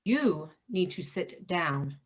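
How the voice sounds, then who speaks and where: An actress playing a stern middle-aged mother who leads vigilante women in a staged reading, firm and measured. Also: fairly low pitch, expressive. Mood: warning.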